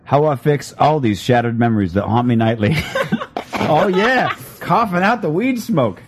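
A man's voice: a little high, expressive, moderate pace, noisy background. Teasing man running a party game. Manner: teasing, laughing, counts down and calls scores. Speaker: co-host. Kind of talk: casual comedic game chat.

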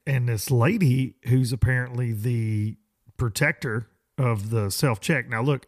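A hoarse man with a drawl hosts a podcast. He talks at a middle pitch in a loose, folksy ramble, full of restarts. He often pokes fun at himself. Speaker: podcast host. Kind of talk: casual rambling monologue.

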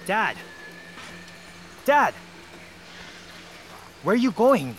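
An actor playing a blunt, worried miner arguing as a son in an audio drama, colloquial and exasperated. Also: male voice, high voice, very animated, very slow, fairly bright tone, slight background noise, heavily acted.

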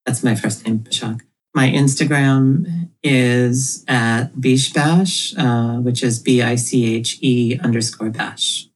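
A woman from Ethiopia, a guest illustrator in a casual interview, wry and quick to laugh. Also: very deep voice, fairly even intonation, low-quality recording.

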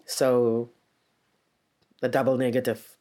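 A South African poet whose first language is Afrikaans, speaking English with a South African accent, giving slow, reflective interview answers with many pauses and fillers. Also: mid-range voice, level tone, dark tone, a little hiss or hum.

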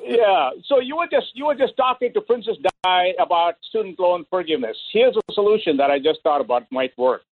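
A man's voice: sits high, animated, fairly quick, few pauses, no room sound. A man calling into a talk radio show, joking sarcastically and then turning earnest. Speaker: caller. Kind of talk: phone-in comment. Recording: tinny phone line.

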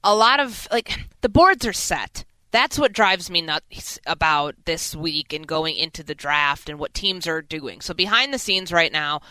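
Bright, clear-voiced woman in sports radio. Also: low-pitched, animated, a little slow, few pauses, dry recording, rough recording. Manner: emphatic, opinionated. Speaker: sports radio co-host and analyst. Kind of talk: sports talk radio commentary.

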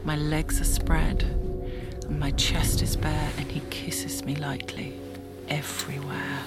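An actress playing a woman who intimately confides an erotic fantasy in a breathy, confessional audio-drama monologue. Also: low-pitched, flat delivery, takes it slowly, whispered. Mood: intimate, aroused. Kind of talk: first-person audio fiction monologue. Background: music.

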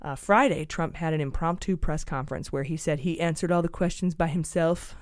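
A woman co-hosting a casual political podcast, sarcastic and quick to laugh, often restarting her sentences. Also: low-pitched, no echo. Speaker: podcast co-host.

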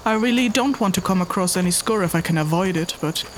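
A woman's voice: lowish voice, quick pace, a little hiss or hum, lightly acted. A woman playing a weary, hesitant traveling investigator in a fantasy audio drama, speaking matter-of-factly. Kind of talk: fantasy audio drama, narrated log and dialogue.

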